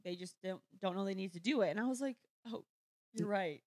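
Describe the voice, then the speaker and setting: A young woman co-hosting a podcast in casual chat, excitable and giggly, telling stories with drama. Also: mid-range voice, fairly quick, dry recording, studio quality. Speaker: podcast co-host.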